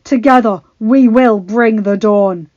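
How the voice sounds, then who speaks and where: Young male warrior king, loud and slow, rallying an army; a woman reader doing the voice. Dull, dry take. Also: fairly high pitch, no echo. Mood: rousing.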